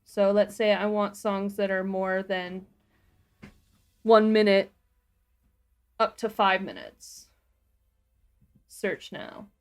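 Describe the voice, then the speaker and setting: A woman co-hosting a livestream, giving a casual step-by-step software walkthrough. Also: mid-range voice, slow pace, pauses often. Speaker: livestream co-host and tutorial presenter; sound designer.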